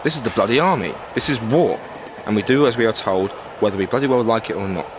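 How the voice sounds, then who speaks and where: Guy acting gruff english ww1 captain, lowish voice, clipped n blustery, radio play, rumbly noise behind. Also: fast talker, close to an everyday voice. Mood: defensive, forceful. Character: gruff, indignant British army captain in World War One. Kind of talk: period audio drama acting.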